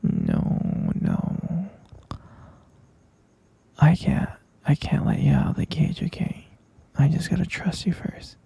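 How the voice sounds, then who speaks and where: A man performing a boyfriend ASMR roleplay as an obsessive, possessive yandere captor, cooing endearments with soft menace in a breathy, husky whisper with gravelly creak. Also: fairly high pitch, steady tone, takes it slowly, no echo, full character voice. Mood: controlling, coaxing.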